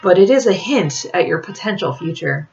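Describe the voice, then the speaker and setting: Firm, prim, secretive woman, low-voiced reader's acting. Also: animated, moderate pace, a little mellow, highs cut off, soft background music. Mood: matter-of-fact. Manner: firm, clipped, formal.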